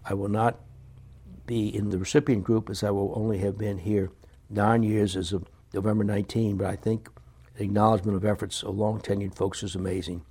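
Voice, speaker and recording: A middle-aged man speaking in an earnest, halting, plain-spoken way, as a business owner giving sincere personal remarks. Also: lowish voice, flat delivery, mellow, breathy edge. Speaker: business owner reading staff emails and reflecting.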